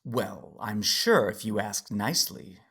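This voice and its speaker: A man playing a calm, knowing alien Jedi in an audio drama, with a husky voice and an understated, gently challenging manner. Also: fairly low pitch, a little slow, exaggerated voice.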